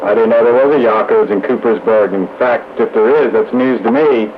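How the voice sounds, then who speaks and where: A man's voice: on the low side, moderate pace. Matter-of-fact man on noisy radio. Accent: American. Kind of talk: casual two-way radio chat. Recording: muffled, noisy two-way radio transmission.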